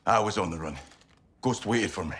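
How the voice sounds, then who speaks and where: An actor playing a cocky, loyal special forces sergeant in a military game, punchy and informal. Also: male voice, fairly low pitch, moderate pace, a bit bright, a little hiss or hum, close to an everyday voice. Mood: determined. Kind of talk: cinematic military game acting.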